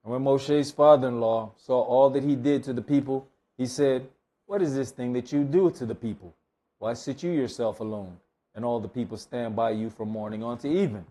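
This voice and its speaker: A man serving as scripture reader at a Bible study, measured and plain in delivery. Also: mid-range voice, warm tone. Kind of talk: reading scripture aloud, brief comments.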